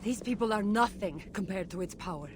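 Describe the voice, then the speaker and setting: An actress playing a world-weary, self-assured ancient warrior with a measured, earnest delivery in a video game. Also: female voice, mid-range voice, lightly acted. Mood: earnest, regretful. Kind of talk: video game dramatic dialogue. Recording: clear environmental game noise behind voice. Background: game ambience.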